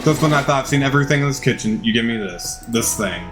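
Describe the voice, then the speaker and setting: A man's voice: fast, natural voice. Man voicing an exuberant showman dog chef over music. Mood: amazed. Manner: showy, booming announcer delivery. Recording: music behind the voice.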